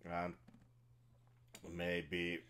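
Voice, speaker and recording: Middle-aged Finnish man, deep slow voice, hesitant teacher talk over soft guitar at home. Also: completely flat delivery. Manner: halting, searching for words, many fillers and restarts. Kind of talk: casual guitar lesson. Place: small bedroom at home. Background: his own jazz guitar playing.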